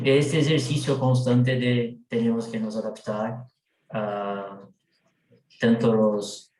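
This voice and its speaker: A man with a Brazilian Portuguese accent, a native Portuguese speaker reporting back for his working group in a video meeting, hesitant with many drawn-out fillers. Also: lowish voice, a little mellow, reverberant, rough recording.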